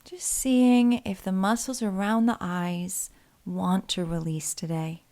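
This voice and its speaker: A woman leading a guided body scan meditation in a soothing, gentle and unhurried way, leaving pauses between phrases. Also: medium pitch, fairly even intonation.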